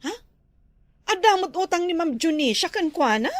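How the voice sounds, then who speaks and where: A woman's voice: extremely high pitch, very animated, moderate pace, no echo. A woman with an Ilocano accent playing a nosy, complaining creditor in a comedy drama. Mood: surprised.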